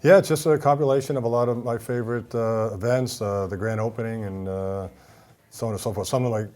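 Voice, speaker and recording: A man's voice: fairly low pitch, steady tone, a little fast, a little mellow. A man with a Boston accent, a guest on a TV talk show, talking casually with lots of fillers and restarts. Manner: rambling, many fillers and restarts. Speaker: event center owner, guest.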